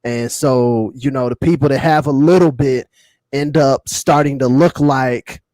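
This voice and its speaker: A man's voice: mid-range voice, steady tone, unhurried, darkish tone, dry recording. An American man co-hosting a casual hip-hop podcast, talking in slang and repeating phrases for emphasis. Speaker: podcast co-host.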